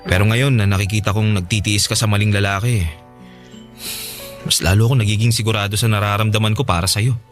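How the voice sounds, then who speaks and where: Low-voiced lovelorn young Filipino man, acted by a man. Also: steady tone, quick pace, soft background music, close to an everyday voice. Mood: earnest confession. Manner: sulky, earnest. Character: sulky, lovelorn young man, loyal best friend. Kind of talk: Filipino radio drama acting.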